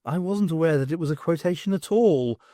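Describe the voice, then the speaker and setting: A man's voice: on the high side, fairly quick, mellow, dry recording, studio quality, voice pushed up, close to an everyday voice. A man voicing a frail, pompous old history professor in an audiobook, florid and querulous. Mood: pompous, resigned.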